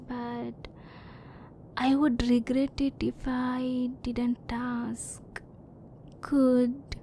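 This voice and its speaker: A woman voicing a curious, reserved female alien in an ASMR roleplay, speaking softly in formal, stilted phrases, at times close to a whisper. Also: on the high side, a little hiss or hum. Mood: hesitant, earnest. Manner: soft-spoken, sometimes near whisper.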